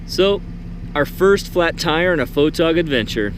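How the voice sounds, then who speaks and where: A man's voice: on the high side, expressive, a little slow, noisy background. A man hosting a casual vlog, giving informal, explanatory updates to the camera. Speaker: vlog host narrating an expedition.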